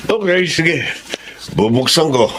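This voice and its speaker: An actor playing an affectionate middle-aged father and husband in a Filipino radio drama, expressive and doting. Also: a little high, slow pace, slight background noise. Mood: delighted.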